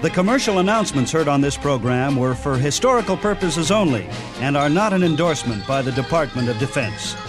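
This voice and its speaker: A man's voice: a little high, lively intonation, fairly quick. A man hosting a radio program, reading a scripted sign-off. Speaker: radio show host. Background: music.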